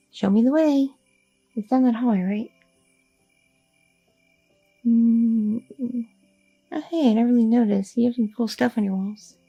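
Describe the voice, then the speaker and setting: A woman's voice: on the high side, gravelly, mellow, slightly rough, no echo, full character voice, rough recording. A woman voicing a curious, chatty young girlfriend in an ASMR roleplay, rambling with many fillers and nervous questions. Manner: rambling, inquisitive, filler-heavy talk.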